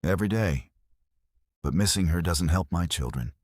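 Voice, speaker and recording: A man with a very deep, husky voice voicing a grieving widowed businessman in his early forties, speaking careful, measured English with a Korean accent. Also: lively intonation, no room sound, clean studio sound. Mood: wistful. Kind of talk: storybook narration.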